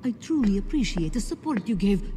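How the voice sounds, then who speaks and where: An actress playing a poised, calculating secret organiser in a video game, speaking in a composed, formal and persuasive way. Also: female voice, medium pitch, big pitch swings, fairly quick, close to an everyday voice. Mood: deferential. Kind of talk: video game cutscene voice acting. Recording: reverberant hall, faint background noise.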